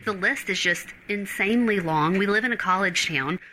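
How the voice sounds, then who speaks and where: A woman's voice: mid-range voice, normal speed, pushed and bright, slight background noise, rough recording. A woman from a homeless shelter, speaking earnestly in a news interview clip. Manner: earnest, conversational, uses "like".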